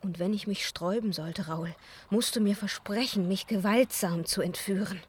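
Spellbound young soprano woman acting, intimate and breathy, mid-range voice, fragile and yearning, German radio play. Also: medium pitch, normal speed, natural voice. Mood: anxious, resolute. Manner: breathy, intimate, slipping into hushed whispers. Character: young opera singer, impressionable, enraptured, torn and fearful.

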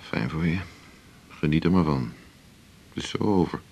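A man's voice: very deep voice, moderate pace, darkish tone, breathy edge, exaggerated voice. An actor playing a cynical, soured male doctor in a radio drama, with dry, blunt, clipped delivery. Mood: cynical, teasing. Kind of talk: 1980s Dutch radio drama acting.

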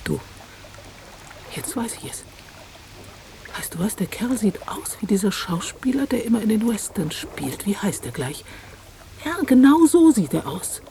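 An actress playing an inquisitive, spirited woman in a radio play, with a questioning, teasing, expressive manner. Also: mid-range voice, unhurried, long pauses, a little hiss or hum. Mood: tender, musing. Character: inquisitive woman, spirited old flame of a journalist.

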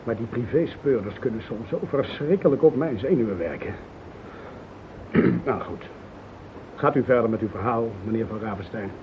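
A man acting a patient, courteous police inspector in a radio play, polite, measured and slightly hesitant. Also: medium pitch, lightly acted. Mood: polite, weary. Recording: old tinny radio recording with hiss.

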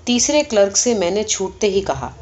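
A woman reading a short story aloud as expressive, scripted audiobook narration. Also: mid-range voice. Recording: dull, muffled sound.

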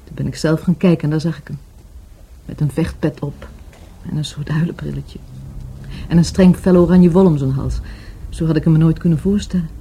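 A soft, grieving, very mellow woman. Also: low voice, fairly even intonation, a little hiss or hum. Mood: wistful, earnest. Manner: hesitant, halting, softly searching for words. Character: grieving, wistful lover of a murdered market trader. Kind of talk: Dutch radio play acting.

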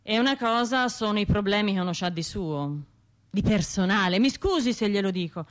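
A woman's voice: medium pitch, lively intonation, natural voice. A woman voicing a blunt, reproving male heckler. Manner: measured, pointed, condescending. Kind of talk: radio drama monologue.

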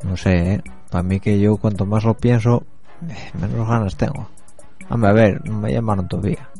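A man's voice: lowish voice, steady tone, moderate pace, gravelly, warm tone, soft background music. A man with an Asturian accent hosting a radio show, rambling in a chatty monologue and talking straight to his listeners. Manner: chatty and rambling, addresses listeners directly, many fillers. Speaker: radio host.

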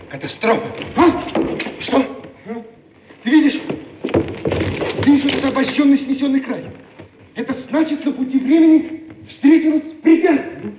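An insistent, excited inventor in an old radio play, a man with a very high voice and a lecturing, emphatic way of talking. He sounds far off on a muffled, hissy recording. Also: roomy sound. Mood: alarmed. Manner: didactic, emphatic, exclamatory. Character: excited, insistent inventor explaining a cryptic message. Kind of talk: mid-century Soviet radio theatre acting.

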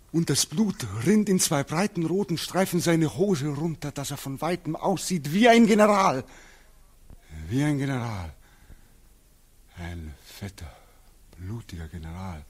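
An actor playing a tormented returning soldier in a 1940s radio drama, theatrical and obsessive as he recounts a nightmare. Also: mid-range voice. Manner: theatrical, obsessive, swinging from hushed murmurs to frenzied cries. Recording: muffled, husky 1940s radio recording.

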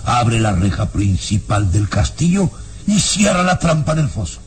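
A menacing baron of a gloomy castle in a radio drama. The voice is low and swings dramatically in pitch. Each order comes out slowly and deliberately, with cold command. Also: male voice, big pitch swings. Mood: sinister, commanding. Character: sinister male castle baron giving slow, menacing orders. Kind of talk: dramatic radio serial acting.

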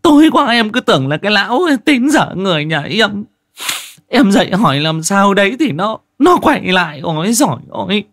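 A terrified young village wife in a spoken ghost story, her voice pushed up shrill and high with a strained, altered tone. She wails and gasps her words in panic, the fear never letting go. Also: very animated, moderate pace, a bit bright, no echo, clean studio sound, heavily acted. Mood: frightened telling.

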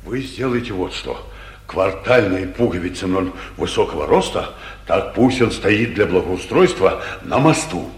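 An actor playing a flustered, blustering, corrupt town governor, commanding and agitated, in a 1940s stage comedy for radio. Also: male voice, low voice, lively intonation, a little slow. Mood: brisk ordering. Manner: commanding, agitated, muttering prayers and curses. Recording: roomy old 1940s radio recording.

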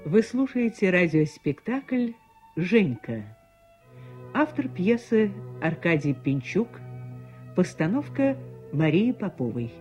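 Slow, swooping female radio announcer. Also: lowish voice, sing-song, a little mellow. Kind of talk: formal scripted radio announcement. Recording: dull old radio recording, music.

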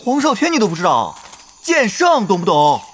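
A man's voice: high-pitched, huge pitch swings, normal speed, a little hiss or hum. A man acting in an audio drama as an earnest young know-it-all gamer, eagerly explaining game lore.

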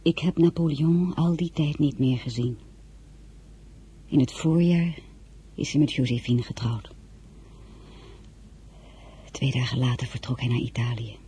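A woman's voice: extremely low pitch, unhurried, pauses often, warm tone, breathy edge, exaggerated voice. An actress playing a sorrowful young woman narrating her own life in a dramatic radio play. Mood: grave, recounting. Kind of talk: dramatic first-person narration.

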